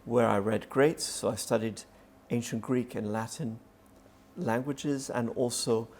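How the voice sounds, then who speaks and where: A man from Venice speaking as a historian in an interview, explaining things in a measured, conversational way. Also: medium pitch, steady tone, darkish tone. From Venice, Italy.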